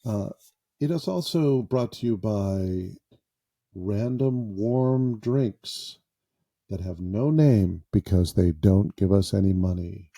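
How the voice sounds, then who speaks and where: Brief: male podcast host, self-deprecating and jokey, unhurried delivery, very dark tone. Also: lowish voice. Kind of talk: improvised casual podcast monologue. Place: small closet padded with sound foam.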